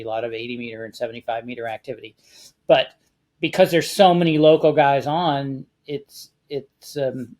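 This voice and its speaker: A man with an American accent talking casually and explaining a hobby step by step, with a measured, conversational manner. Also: medium pitch. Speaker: amateur radio contest guest expert.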